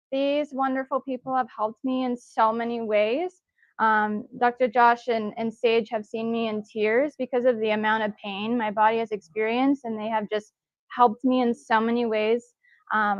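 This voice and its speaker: Woman emceeing an indoor event, cheery and chatty, kinda high voice. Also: no room sound, lo-fi sound. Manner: enthusiastic, cheering, frequent fillers. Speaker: event host. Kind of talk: live event introductions.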